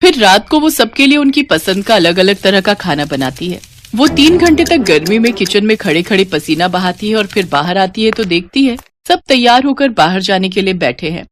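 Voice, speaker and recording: A woman's voice: mid-range voice, big pitch swings, normal speed, slight background noise. A woman telling a story as a scripted narrator.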